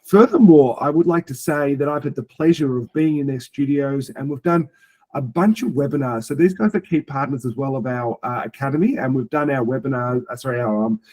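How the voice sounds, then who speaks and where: A man's voice: mid-range voice, darkish tone, low-quality recording. A man hosting a business webinar who introduces the guests in a rambling way with many fillers. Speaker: webinar host.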